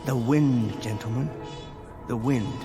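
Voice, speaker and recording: An actor playing a pompous, coolly confident criminal professor in a radio drama, speaking with precise, pedantic formality. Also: male voice, medium pitch, slow. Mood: grandly assured. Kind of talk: old-time style radio drama acting. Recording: long hall reverb. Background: faint dramatic music.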